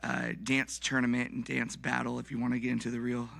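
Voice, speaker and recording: A man with a slightly raspy voice, worn from recent yelling, giving public comment as a nonprofit founder. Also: medium pitch, completely flat delivery, fairly quick, no room sound. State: voice recovering from yelling. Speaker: nonprofit dance organization founder. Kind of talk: public comment at a council meeting.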